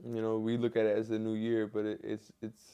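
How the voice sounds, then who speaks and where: Mellow smooth-voiced podcast host. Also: male voice, lowish voice, brisk. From Venezuela. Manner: relaxed, reflective, asks questions. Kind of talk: casual conversation.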